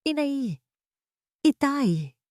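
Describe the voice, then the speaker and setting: A woman's voice: high-pitched, sing-song, extremely slow, a little mellow, dry recording, pitched above the performer's own voice, exaggerated voice. Wronged young wife for audio drama, performed by a woman: meek, pleading, heartbroken, dry close sound. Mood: anguished. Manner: plaintive, whispered lament.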